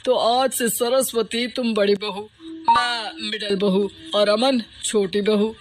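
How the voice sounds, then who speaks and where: Cartoon father-in-law: woman performs elderly, tired, teasing husband, unhurried, mid pitch. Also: fairly even intonation, lightly acted. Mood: playful. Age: older. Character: older father-in-law, weary but playful husband. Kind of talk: Hindi cartoon family story dialogue.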